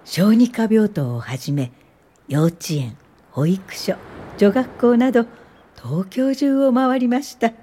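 Older lady telling her life story, soft mellow voice, gentle n measured, radio drama. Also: a little high, animated, a little hiss or hum. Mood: reflective. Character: older woman social worker recalling her life, gentle. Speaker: narrator and lead character. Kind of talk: radio drama first-person narration.

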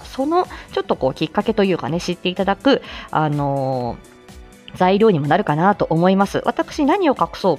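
A woman's voice: mid-range voice, a little mellow. A woman hosting a casual morning live chat, chatty and giggly, politely explaining and slipping in playful asides. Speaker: live audio show host; speech-language therapist.

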